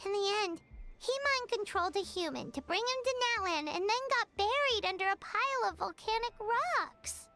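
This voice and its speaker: A woman voicing an excitable, quippy young female companion in a game. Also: very high-pitched, moderate pace, faint music behind, exaggerated voice. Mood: musing. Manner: animated, quippy.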